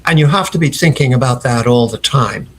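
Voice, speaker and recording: A man's voice: medium pitch, fairly quick, husky. Older Irish man, company founder. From Ireland. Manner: repeats words when starting a thought. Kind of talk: casual interview conversation.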